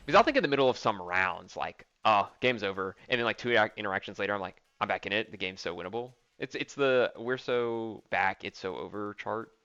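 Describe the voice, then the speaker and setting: Bright-voiced man thinking aloud. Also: on the low side, animated, fairly quick, hardly any pauses, clear, resonant tone, no echo, dull audio. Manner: thinking aloud with many ums and likes. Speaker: fighting game player reviewing his own match. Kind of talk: casual match commentary.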